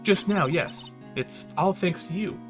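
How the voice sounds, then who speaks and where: A man voicing an earnest, spirited young woman from a game, excitable and eager. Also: sits high, unhurried, music in the background, pitched above the performer's own voice. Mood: relieved, bright.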